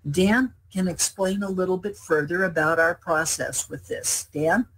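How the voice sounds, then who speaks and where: A man with a husky, somewhat rough-edged voice delivers a prepared webinar presentation. The delivery is formal, that of a team lead addressing colleagues. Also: high-pitched, unhurried, a bit bright, low-quality recording.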